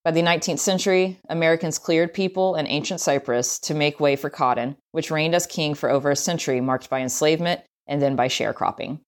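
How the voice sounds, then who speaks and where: A woman's voice: deep voice, brisk, hardly any pauses. A woman with a Southern US accent reading a scripted travel essay as narration. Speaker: writer, tour guide and historian reading own essay.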